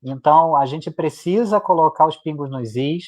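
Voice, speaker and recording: A man's voice: a little high, moderate pace. Emphatic man. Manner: emphatic, repeating points for weight. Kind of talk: live discussion. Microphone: video call.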